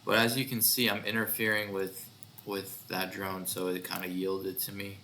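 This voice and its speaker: A man giving a casual demo of his own software project, thinking aloud in a hesitant way. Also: deep voice, flat delivery, moderate pace, slight background noise. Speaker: developer presenting own project. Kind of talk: casual screen-recorded demo narration.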